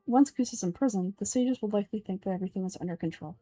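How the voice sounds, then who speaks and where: A woman giving a measured, earnest dramatic reading of video game script as an amateur voice actor. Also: medium pitch, dark tone, husky, no echo, soft background music.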